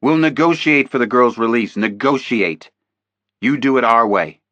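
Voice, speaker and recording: A man's voice: medium pitch, normal speed, fairly bright tone, no echo, highs cut off, pitched above the performer's own voice, close to an everyday voice. A man voicing a stern male federal agent who gives firm orders. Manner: firm, commanding.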